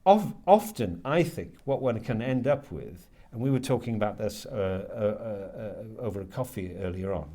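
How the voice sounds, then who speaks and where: A man giving reflective interview answers as a university lecturer, halting and repeating words as he thoughtfully works toward his point. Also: fairly low pitch, expressive, mellow. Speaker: university creative writing lecturer being interviewed.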